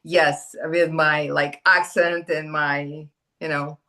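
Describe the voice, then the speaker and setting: Russian accent lady, bit on the low side, chatting in an interview like an actress telling set stories. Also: level tone, takes it slowly, pushed and bright. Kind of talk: casual conversational interview.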